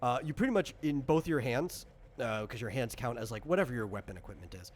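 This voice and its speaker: A man's voice: mid-range voice, lively intonation, fast. A man explaining things in casual chat, in a rambling, hesitant way, as a game designer running character creation.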